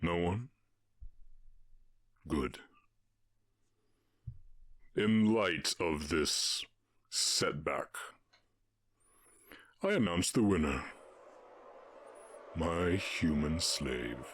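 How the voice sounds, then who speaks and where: A man voicing a stern, imposing orc warchief in a fantasy audio roleplay, speaking in deliberate, imperious proclamations. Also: deep voice, animated, a bit bright, breathy edge, dry recording, heavily acted. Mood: solemn proclaiming.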